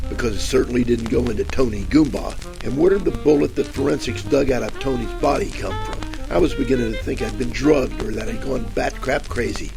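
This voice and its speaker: Male actor as cocky hard-boiled private eye, creaky voice, wry boasts and quips, classic radio detective drama over prominent music. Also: mid-range voice, close to an everyday voice, poor audio. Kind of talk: old-time radio detective acting and narration.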